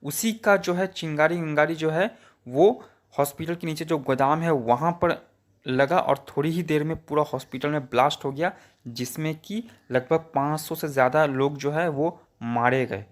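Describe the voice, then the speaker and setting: A man's voice: on the high side, normal speed. An Indian man giving informal, opinionated news commentary in a colloquial, repetitive way.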